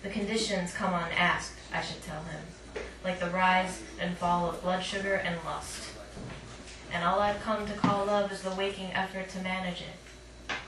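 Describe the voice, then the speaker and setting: A woman reads poetry aloud at a live event, informal and a little self-conscious. Her voice sits on the low side, caught at a distance in an echoey room with clear background noise. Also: level tone, normal speed. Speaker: poet, featured reader. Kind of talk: live poetry reading with casual asides. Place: live poetry night at a cafe.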